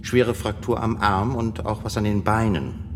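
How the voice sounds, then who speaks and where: A man's voice: on the low side, a little slow, music in the background. An actor playing a dry, sardonic male doctor who speaks precisely and slips in sarcastic remarks in a radio play. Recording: reverberant room.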